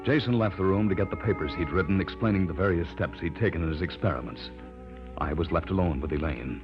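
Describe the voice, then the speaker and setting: Actor as deep-voiced earnest scientist, old radio. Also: male voice, fast talker, lightly acted. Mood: grave, narrating. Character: earnest male scientist assistant recounting an eerie tale. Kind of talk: old-time radio drama acting and narration. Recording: muffled vintage radio recording with music.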